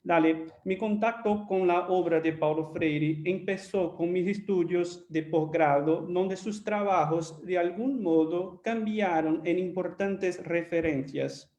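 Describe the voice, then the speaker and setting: A man from Brazil, a native Portuguese speaker with a Brazilian accent, speaking careful, slightly halting Spanish as a panel guest. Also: high-pitched, steady tone, darkish tone, reverberant.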